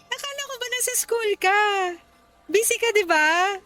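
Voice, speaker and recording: A woman's voice: very high-pitched, lively intonation, normal speed, bright, forceful tone, exaggerated voice. A young woman acting a hurt, angry, sarcastic girlfriend in a radio drama. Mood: sarcastic, accusing. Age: young adult. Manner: sarcastic, confrontational, emotional. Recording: music behind.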